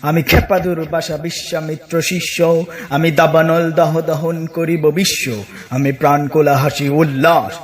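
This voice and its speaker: High-pitched man reciting poetry dramatically. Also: darkish tone. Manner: declamatory, emphatic short phrases with dramatic pauses. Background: music.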